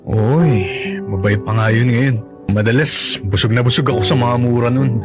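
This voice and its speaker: A man's voice: mid-range voice, normal speed, over music, lightly acted. An actor with a Filipino accent playing a cash-strapped, apologetic and devoted young father in a radio drama, with a self-effacing manner. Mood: resigned, tender.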